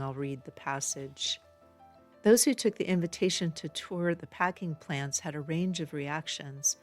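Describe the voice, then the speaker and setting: A middle-aged woman reading a personal letter aloud in an earnest, heartfelt manner. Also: deep voice. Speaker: podcast host.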